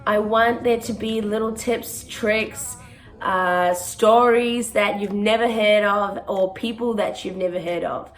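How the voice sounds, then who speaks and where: A young woman, a touring musical theatre performer talking earnestly to camera in a casual vlog, often pausing on "um". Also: medium pitch, fairly even intonation. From born in South Africa, raised in New Zealand. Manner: earnest and chatty, with frequent ums.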